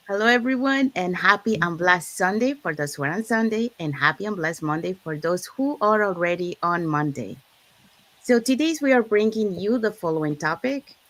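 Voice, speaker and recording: A woman's voice: medium pitch, lively intonation, moderate pace, a bit bright. A woman from Panama, co-hosting a live business chat and explaining sign-up steps in an upbeat, explanatory way. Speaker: co-host. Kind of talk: live-stream promo chat.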